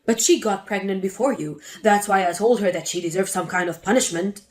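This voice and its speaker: A woman voicing a spiteful older mother-in-law who lectures and sneers, with a lowered voice. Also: fairly quick, faint music behind, natural voice. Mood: self-justifying. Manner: scornful, lecturing.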